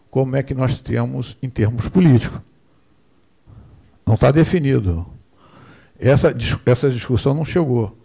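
An older Brazilian lecturer speaks from a podium microphone in an indoor hall, his voice mellow and dark. He wanders into asides and keeps asking for the next slide. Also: mid-range voice, gravelly, slightly rough. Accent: Brazilian Portuguese. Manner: digressive, asks for the next slide. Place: hall with projector screen, indoors.

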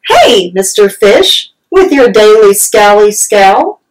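A woman voicing a gently floating male jellyfish who speaks in a slow, lilting way. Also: mid-range voice, sing-song, low-quality recording. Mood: wistful.

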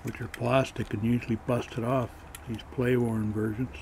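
A man showing his collector's finds in a casual, matter-of-fact way, pausing as he handles each piece, recorded on a camera mic. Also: medium pitch, little pitch movement, creaky, darkish tone, a little hiss or hum. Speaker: toy collector showing flea market finds. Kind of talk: casual show-and-tell narration.

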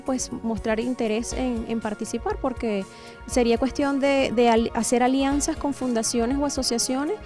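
A fairly high, very soft-edged woman's voice with a Venezuelan accent. She gives an interview statement into a handheld mic outdoors, with faint music behind her. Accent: Venezuelan Spanish. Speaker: academic advisor, interviewee. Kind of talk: prepared interview monologue to camera. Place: outdoors, in a garden courtyard. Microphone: handheld microphone close to the mouth.

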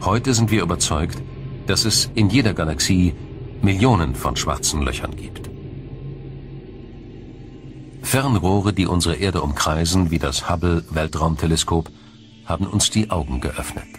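A man reading scripted science documentary narration in a measured, dramatic storytelling manner. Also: low-pitched, a little hiss or hum. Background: music.

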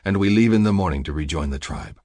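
Guy doing a protective alien hunter husband for an audiobook, low rough voice, solemn, earnest. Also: fast, darkish tone, no echo. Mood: tender, resolute. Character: protective male alien hunter, devoted, brooding mate. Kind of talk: audiobook character dialogue.

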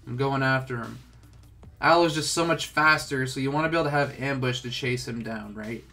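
A man's voice: medium pitch, fairly quick, bright, forceful tone, soft background music. A young man with a stuffed-up, congested voice, casually talking through a game tutorial with lots of filler words. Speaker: gaming tutorial host.

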